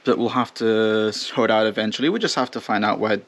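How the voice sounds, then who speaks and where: A man's voice: on the low side, steady tone, fairly quick, a bit bright. A man chatting casually outdoors as he vlogs, explaining his work as he goes and poking fun at himself. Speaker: vlogger. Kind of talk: casual vlog narration. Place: outdoors on a rural plot.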